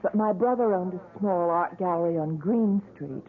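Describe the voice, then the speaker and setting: A woman's voice: fairly low pitch, moderate pace, natural voice. A woman playing an earnest, anxious, persistent young woman in a radio drama. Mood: nervous, confiding. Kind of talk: 1970s radio drama acting. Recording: muffled vintage radio recording.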